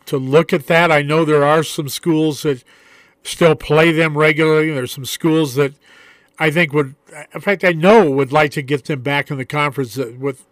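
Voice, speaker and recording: A man's voice: a little high, fairly bright tone, dry recording. A man co-hosting a sports radio show, putting direct questions to a guest. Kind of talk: casual sports radio talk.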